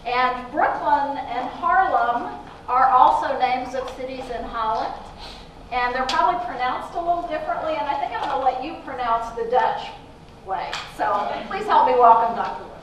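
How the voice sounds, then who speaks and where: High-voiced woman introducing a speaker. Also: lively intonation, muffled recording. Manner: informal, halting with fillers. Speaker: event host introducing speaker. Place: large lecture hall. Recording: echoey hall from far off, noisy.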